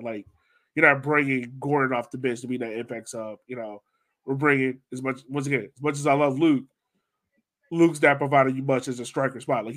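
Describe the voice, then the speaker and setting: A man's voice: mid-range voice, fairly bright tone. An American man chatting casually about sports as a podcast co-host.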